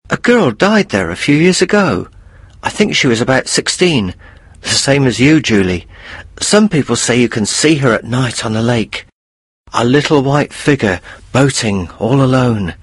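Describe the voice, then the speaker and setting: A man's voice: mid-range voice, sing-song, normal speed, husky, dry recording. A man voicing a mischievous teenage brother who taunts in a mock-spooky, exaggerated way for an audiobook. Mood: eerie, excited. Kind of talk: audiobook character voice for language learners.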